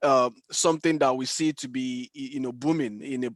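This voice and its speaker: A man's voice: mid-range voice, steady tone, a little fast, dry recording, studio quality. A man with a Nigerian accent hosting a podcast in a casual, conversational style. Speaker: podcast host. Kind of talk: casual discussion, wrapping up.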